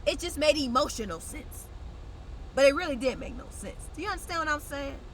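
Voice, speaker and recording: A woman's voice: high-pitched, animated, a little fast, a little hiss or hum, rough recording. A young woman vlogging in a blunt, slangy, conversational way, sounding congested and nasal. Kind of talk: casual direct-to-camera chat.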